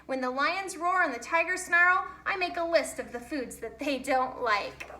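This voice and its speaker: Woman acting out a fretful storybook he-bear, envious and eager, high bright voice, exclamatory. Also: normal speed. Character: excitable, envious, self-doubting male bear, hospital worker. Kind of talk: children's storybook character reading. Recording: roomy, echoey home recording.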